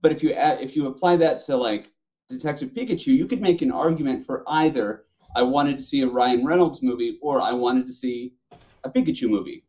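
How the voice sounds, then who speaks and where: A man's voice: medium pitch, fairly quick, darkish tone, poor audio. A middle-aged man talking casually and enthusiastically about films as a podcast panelist. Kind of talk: casual chat about movies.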